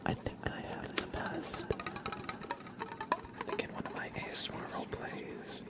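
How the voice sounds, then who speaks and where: An ASMR streamer chatting casually in a soft, rambling whisper during a livestream. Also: normal speed.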